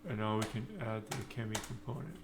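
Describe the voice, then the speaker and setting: A man giving an unscripted coding tutorial, thinking aloud in halting, short fragments. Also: lowish voice. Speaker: programming tutorial instructor. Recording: noisy with keyboard typing. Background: computer keyboard typing.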